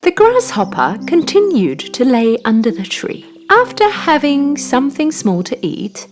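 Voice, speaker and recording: A woman's voice: high-pitched, wildly swooping pitch, a little slow. A woman narrating a scripted children's story as a storyteller. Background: background music.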